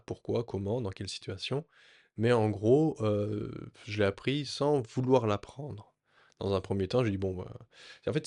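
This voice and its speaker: A man sharing a personal story in a reflective, earnest way, often pausing and restarting his sentences. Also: on the low side, creaky, dark tone, no echo, studio quality. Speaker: vlogger.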